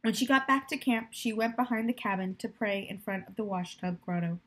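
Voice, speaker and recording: A young woman reading a children's novel aloud in a storytelling style, with a husky voice. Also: medium pitch, brisk. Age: young adult. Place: plant-filled room indoors by a window.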